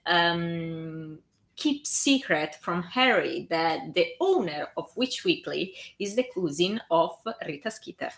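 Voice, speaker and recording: A woman's voice: lowish voice, a bit bright. A woman with a strong Italian accent who reads a story aloud on a live stream, with chatty, self-conscious asides. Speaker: fan fiction reader and live streamer.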